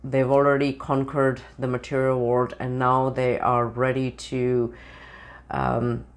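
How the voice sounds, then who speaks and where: Tarot reader, just over the flu and sounding it, middle pitch, talks in loose, filler-heavy runs. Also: monotone, slow pace. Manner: meandering, with many "you know" and "um" fillers. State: voice changed, recovering from flu. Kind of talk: channeled tarot reading to camera.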